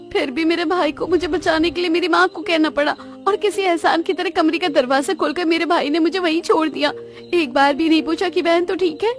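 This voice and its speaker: A woman voicing a spoiled, envious young sister in a cartoon, whiny and dramatic. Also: very high voice. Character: spoiled, envious young sister craving her brother's affection.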